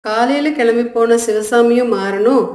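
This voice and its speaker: A woman's voice: a little high, normal speed, a little mellow, echoey room. A woman narrating a scripted children's story.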